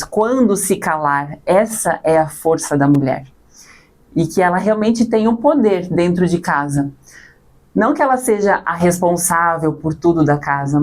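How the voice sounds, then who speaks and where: Calm southern Brazilian woman. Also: on the low side. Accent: Santa Catarina Brazilian Portuguese with some gaúcho. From Santa Catarina, Brazil. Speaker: guest. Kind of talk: casual podcast conversation.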